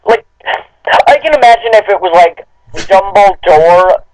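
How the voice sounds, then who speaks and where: A man co-hosting a comedic read-along, joking casually and reading aloud in an informal, halting way. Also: high-pitched, lively intonation, a little mellow, low-quality recording. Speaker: co-host of a comedic dramatic reading.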